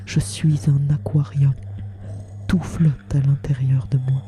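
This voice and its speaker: Hushed woman narrator with even delivery. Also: rumbling low. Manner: measured, short poetic phrases. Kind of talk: scripted literary audio fiction narration. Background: music.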